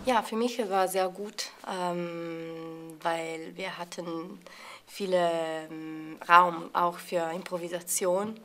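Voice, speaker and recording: A young woman with an Italian accent, an actor being interviewed, who speaks hesitantly with many fillers and pauses. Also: lowish voice, fairly even intonation, a bit bright. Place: indoor theatre space with brick wall.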